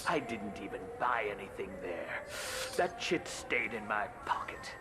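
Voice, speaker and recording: An actor playing an indignant, prejudiced alien merchant in a video game, blustering and halting as he makes accusations. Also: fairly low pitch, big pitch swings. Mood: defensive, scornful.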